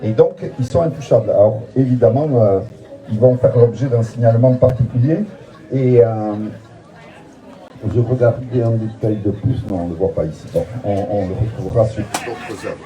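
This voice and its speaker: A man's voice: mid-range voice, moderate pace, mellow, a faint crowd, low-quality recording. A man leading a tongue-in-cheek guided tour outdoors, talking in a mock-solemn way and hesitating often. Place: outdoors in front of a museum.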